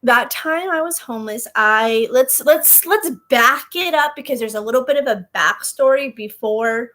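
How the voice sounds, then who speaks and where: A young woman in her twenties telling a personal story in casual chat, animated, laughing and going off on tangents. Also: high-pitched, normal speed. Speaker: vlogger.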